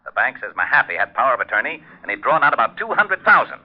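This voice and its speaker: A man acting an earnest, brisk, no-nonsense police detective in an old-time radio crime drama. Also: mid-range voice, sing-song. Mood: businesslike. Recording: dull vintage radio recording.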